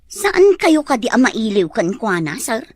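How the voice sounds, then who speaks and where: A woman acting a cheeky, giggly housemaid in a radio drama, exclamatory and animated. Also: fairly high pitch, dry recording. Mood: curious, chatty. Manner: exclamatory, animated, drawn-out delivery. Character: cheeky, giggly housemaid teasing her boss.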